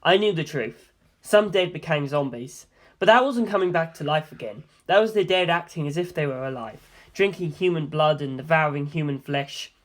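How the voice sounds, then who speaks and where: A woman's voice: low-pitched, lively intonation, moderate pace. A woman reading scripted story narration in the first person. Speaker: audiobook reader.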